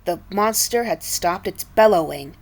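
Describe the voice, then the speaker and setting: A woman reading a children's story aloud in a deliberate, dramatic manner. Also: on the low side.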